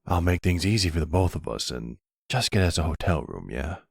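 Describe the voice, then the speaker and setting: A man's voice: low voice, very animated, fairly quick, vocal fry, no room sound, studio quality, exaggerated voice. A man playing a flirty, smug vampire in an intimate ASMR roleplay, with a teasing, sardonic and seductive manner. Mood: playful, smug. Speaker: audio roleplay voice actor.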